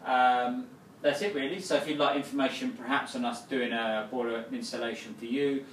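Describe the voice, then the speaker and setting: A man's voice: medium pitch, fairly even intonation, moderate pace, distant mic, a little hiss or hum. A man in his thirties who runs a plumbing business, casually explaining a new boiler in a small home kitchen, with lots of fillers and restarts. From England. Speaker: plumbing company owner. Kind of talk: casual product walkthrough. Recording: roomy kitchen sound, voice a little off-mic.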